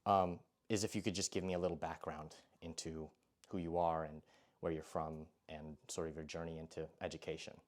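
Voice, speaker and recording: A man's voice: a bass voice, flat delivery, brisk. A young man interviewing a guest as a culture editor, asking long questions with many fillers and hesitations.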